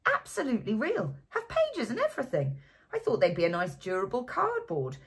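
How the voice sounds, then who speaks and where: A woman's voice: on the high side, huge pitch swings, a little fast, breathy edge, voice pushed up, heavily acted. A woman voicing a stout, drunk, middle-aged man who talks in an eager, insistent, blustery way. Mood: excited.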